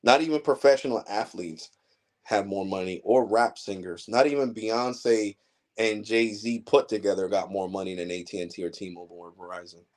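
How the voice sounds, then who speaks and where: A man in his forties rants to his livestream audience as a tech channel host. He is blunt and heated, swearing freely as he lectures viewers, and his voice sits a little low. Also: steady tone, normal speed. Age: 40s. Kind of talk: casual ranting livestream monologue.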